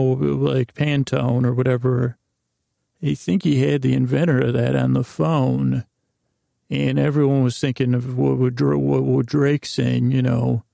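Very creaky, meandering male podcast host. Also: medium pitch, dark tone, dry recording, clean studio sound. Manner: meandering, halting, silly, self-interrupting. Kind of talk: rambling bedtime podcast intro.

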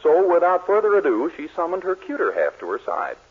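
A man's voice: fairly high pitch, huge pitch swings, a little fast, husky. An American man announcing in the style of 1940s network radio. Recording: tinny 1940s radio broadcast.

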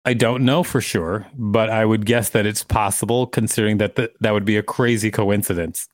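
A man's voice: lowish voice, fairly even intonation, normal speed, dry recording, clean studio sound. A man co-hosting a podcast, reading articles aloud and breaking into casual banter. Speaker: podcast co-host.

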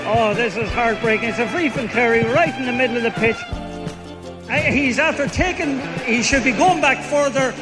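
A man's voice: extremely high pitch, moderate pace, music in the background, poor audio. An Irish man reacting to a football match, anguished and pleading with the players.

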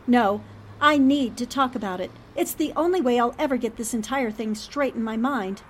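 A woman plays an earnest newspaperwoman in an old-time radio drama. Her voice sits fairly high and she reasons things out deliberately, sounding shaken yet determined. A faint rumbling noise runs underneath. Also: close to an everyday voice. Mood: shaken, relieved.

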